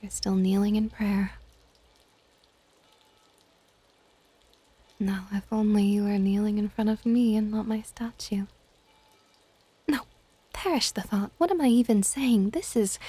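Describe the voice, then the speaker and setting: A woman playing a possessive, affectionate dragon goddess in an ASMR audio roleplay, with a soft, whispery, teasing and intimate delivery. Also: no echo, close to an everyday voice. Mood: impatient, musing. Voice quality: soft, breathy whispering mixed with low-volume speech. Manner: doting, teasing, unhurried.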